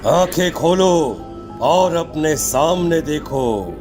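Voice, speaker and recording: A man playing a stern, accusing tantric holy man in a horror cartoon, deliberate and commanding. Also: a little high, animated, over music.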